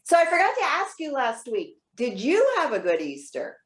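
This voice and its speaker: A woman's voice: high-pitched, wildly swooping pitch, moderate pace. A woman playing a proud, witty elderly retired social worker in readers theater, articulate, wry and pointed. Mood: wry, chatty. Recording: roomy echo.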